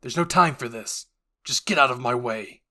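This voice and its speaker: A man's voice: medium pitch, moderate pace, pushed and bright. A man voicing a stern, stoic military captain in an anime roleplay, curt and commanding. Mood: angry, commanding.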